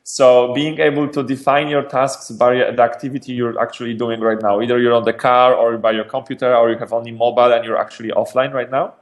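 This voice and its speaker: A man from Poland, a startup founder answering interview questions in a lively, energetic way, often restarting and repeating words. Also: mid-range voice, brisk, few pauses. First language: Polish.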